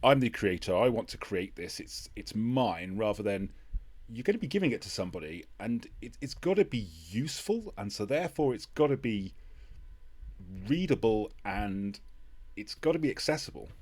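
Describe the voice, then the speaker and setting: Lowish-voiced man hosting a casual chat. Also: expressive, moderate pace, a little mellow. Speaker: podcast host.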